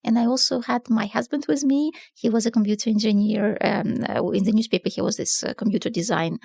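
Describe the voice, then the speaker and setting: A middle-aged woman from southern Russia with a Russian accent, a newspaper publisher telling her story in a relaxed interview, with many fillers and non-native grammar. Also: fairly high pitch, brisk, creaky, breathy edge, dry recording, studio quality. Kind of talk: casual conversational storytelling.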